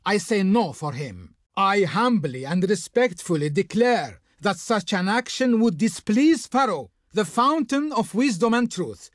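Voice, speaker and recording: A man's voice: sits high, sing-song, a little slow, few pauses, no echo, studio quality, full character voice. A man playing a haughty, flowery envoy of an Egyptian Pharaoh in a video game, speaking in a pompous, declamatory manner. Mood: haughty, dismissive. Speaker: game voice actor playing a diplomat. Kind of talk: theatrical scripted game dialogue.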